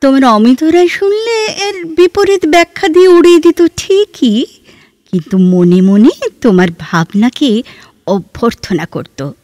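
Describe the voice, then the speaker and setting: A woman playing a reflective, scholarly, sorrow-laden heroine in an audio drama, speaking in a measured, lyrical way with expressive pauses. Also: high-pitched, dry recording, clean studio sound. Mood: delighted. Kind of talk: Bengali audio drama dialogue.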